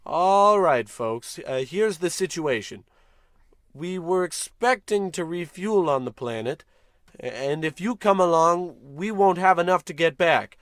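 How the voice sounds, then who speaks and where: An actor playing a pompous, dim-witted, bossy American spaceship captain in a comedic old-style radio play. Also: male voice, fairly high pitch, lively intonation, a little slow, no echo, clean studio sound. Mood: clueless, bossy. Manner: pompous, bossy, self-important.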